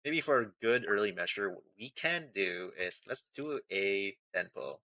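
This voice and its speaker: Bright, forceful low-voiced male game streamer. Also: lively intonation, measured pace, low-quality recording. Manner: thinks aloud, reads on-screen text, asks himself questions. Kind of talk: casual live game stream commentary. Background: music.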